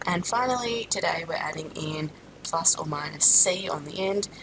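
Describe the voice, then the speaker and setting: Stuffy-nosed woman teaching maths, low gravelly voice. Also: moderate pace, noisy background. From Australia. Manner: steady explaining with frequent ums and self-corrections. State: stuffed up with a sinus infection. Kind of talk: recorded classroom lesson.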